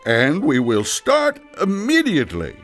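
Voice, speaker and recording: A man playing a weary, loving father figure, the Christmas leader, speaking in halting, deliberate announcements in a storybook tale. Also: fairly high pitch, wildly swooping pitch, a bit bright, faint music behind, heavily acted. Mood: commanding.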